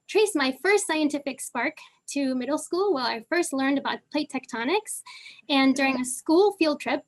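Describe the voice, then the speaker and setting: A young woman from Argentina with a Southern California accent, telling her story as a panelist in a casual way, with many fillers. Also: high voice. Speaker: panelist, geochemist. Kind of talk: online panel talk.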